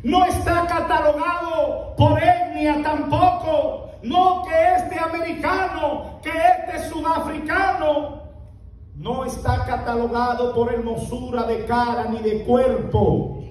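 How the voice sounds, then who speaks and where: A man preaching an impassioned sermon to a congregation, shouting and drawing out words for emphasis. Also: extremely high pitch, fairly even intonation, slow. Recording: very echoey, from far off.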